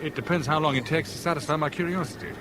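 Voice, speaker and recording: An actor playing a polite, guarded English gentleman traveller in a 1970s radio drama, formal and slightly hesitant, with a British accent. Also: a little high, rapid-fire, noisy background, close to an everyday voice. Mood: reserved, polite.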